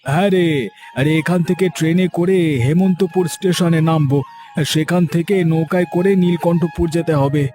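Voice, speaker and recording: A man playing a genial, indulgent husband and father in a cartoon, hearty and reassuring in his expressive talk. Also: a little high, moderate pace, faint music behind, natural voice. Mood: cheerful, reassuring. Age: adult. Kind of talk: cartoon voice acting.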